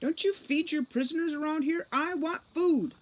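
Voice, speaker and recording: A woman's voice: high-pitched, moderate pace, pitched above the performer's own voice, close to an everyday voice, rough recording. A woman voicing a feisty captive female elf in a storybook, defiant, demanding and sarcastic.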